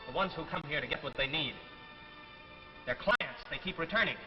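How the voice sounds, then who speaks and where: An actor playing an insistent, smooth-talking young man after money in a 1950s television drama. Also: on the high side, moderate pace, close to an everyday voice. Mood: pleading, insistent. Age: young adult. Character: pushy, scheming young man hungry for money. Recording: muffled vintage TV broadcast, faint music.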